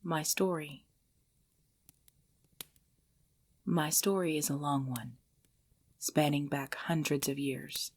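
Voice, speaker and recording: An ancient vampire woman, acted by a woman speaks in a breathy, husky hush that slips into whispers. Her delivery is even and restrained, with formal, old-fashioned phrasing. She sounds like a possessive, mournful lover in an intimate ASMR audio drama. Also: low-pitched, heavily acted. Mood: grave, reminiscing. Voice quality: breathy, often dropping into whisper. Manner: slow, formal, archaic phrasing, hushed. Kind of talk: ASMR roleplay, intimate scripted monologue.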